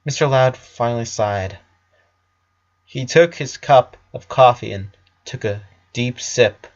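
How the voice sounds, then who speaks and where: Guy reading a dramatic story out loud, real slow, kinda muffled lo-fi audio. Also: mid-range voice. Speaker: narrator reading fan fiction. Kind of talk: dramatic story narration with character voices. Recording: dull lo-fi recording.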